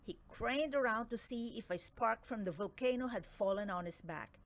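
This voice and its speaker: A woman narrating a children's book aloud in an expressive storytelling style. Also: a little high, normal speed.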